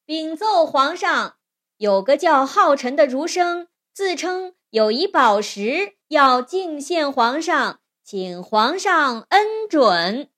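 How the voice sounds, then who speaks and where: A woman voicing an officious male palace messenger in a storybook, announcing loudly and formally. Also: high voice, very animated, takes it slowly, voice pushed up, full character voice. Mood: formal, deferential.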